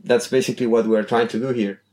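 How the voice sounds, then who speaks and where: Casual podcast guest: man from Chile, halting and thoughtful, mid-pitched. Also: fairly even intonation, a little mellow. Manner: hesitant, restarts often, searches for words.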